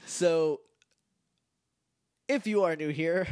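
A man co-hosting a casual podcast, chatty and quick to laugh, with a slightly nasal voice. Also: a little high, no echo, clean studio sound. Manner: chatty, laughs often, animated. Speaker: podcast co-host. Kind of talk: casual chat.

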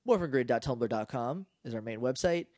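A man co-hosting a casual podcast, chatty and quick to laugh, with a slightly nasal voice. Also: medium pitch, no room sound, clean studio sound. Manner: chatty, laughs often, animated. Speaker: podcast co-host. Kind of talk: casual chat.

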